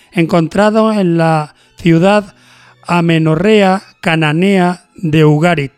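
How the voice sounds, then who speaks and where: A man hosting a cultural programme, measured and deliberate, moving between scripted narration and interview talk. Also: high-pitched, darkish tone, no echo. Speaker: host of a cultural radio programme.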